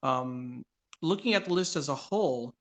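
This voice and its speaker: A man hosting a casual interview show, conversational and halting as he asks questions and reads things out. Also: mid-range voice, animated. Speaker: guest host of a live read-along show. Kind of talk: casual interview, reading a list aloud with filler pauses.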